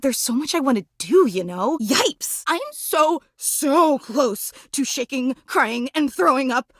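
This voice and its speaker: A bubbly, devoted superfan woman from a video game. Her voice is high and wildly animated, with a dramatic, slangy cheerleader's gush. The sound is close and dry. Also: dry recording, exaggerated voice. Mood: overwhelmed, thrilled. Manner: excitable, dramatic, slangy gushing. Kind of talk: video game character voice acting.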